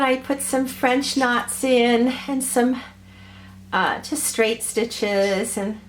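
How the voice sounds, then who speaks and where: Slow-talking woman with a cold. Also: high-pitched, a little hiss or hum. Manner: gentle, meandering, many ums and uhs. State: has a cold, a little hoarse. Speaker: crafter showing her ornaments. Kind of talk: slow, chatty craft tutorial.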